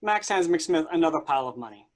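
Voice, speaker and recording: A stage directions reader with a medium-pitched voice. The delivery is scripted narration for a play reading, setting out each action. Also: lively intonation, quick pace.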